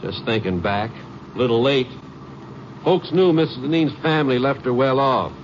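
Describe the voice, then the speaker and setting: A man's voice: mid-range voice, normal speed, natural voice. A man playing a folksy country sheriff. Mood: easygoing. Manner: drawling, easygoing folksy talk. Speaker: small-town sheriff. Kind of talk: 1950s radio drama acting. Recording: dull old radio recording with hiss.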